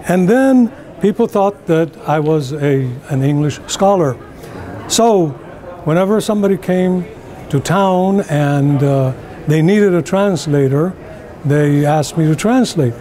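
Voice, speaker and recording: A man's voice: on the high side, animated, unhurried, warm tone. An older man from Iran with an Iranian accent, telling his life story to the camera at a conference. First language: Persian. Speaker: interviewee. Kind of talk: personal recollection to camera. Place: indoor conference venue.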